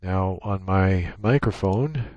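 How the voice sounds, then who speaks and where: A man giving an unscripted software tutorial, explaining each step in a matter-of-fact, technical way. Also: low voice, takes it slowly, dry recording, muffled recording. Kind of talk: unscripted screen-share walkthrough. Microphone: USB microphone with gate and compressor.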